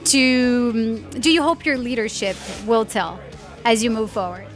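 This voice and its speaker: A woman interviewing a guest at a conference, thanking him warmly and asking questions with some hesitation. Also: a little high, a little slow, faint music behind. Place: conference venue.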